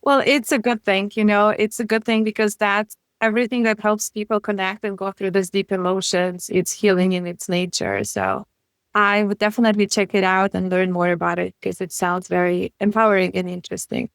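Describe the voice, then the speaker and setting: A woman's voice: mid-range voice, little pitch movement, brisk, smooth, dry recording, clean studio sound. A woman in her thirties, from Ukraine and not an English speaker, speaking as a guest grief coach in an earnest, casual way, often dropping articles. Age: 30s. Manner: earnest, drops articles, frequent "you know" and "like". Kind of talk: casual interview chat.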